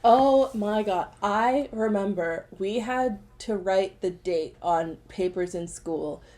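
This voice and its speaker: A young woman co-hosting a podcast, chatting casually with quick asides and self-corrections. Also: mid-range voice, warm tone, slight background noise. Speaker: podcast co-host. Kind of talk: casual chat.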